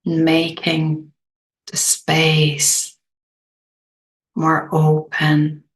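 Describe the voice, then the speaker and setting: Meditation guide, female. Extremely slow delivery, gentle, low register, little melodic movement. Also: monotone. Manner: gentle, unhurried, long pauses.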